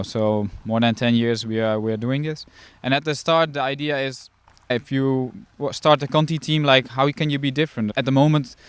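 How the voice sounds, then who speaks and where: Smooth-voiced team director. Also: male voice, mid-range voice, dry recording. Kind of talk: interview answers.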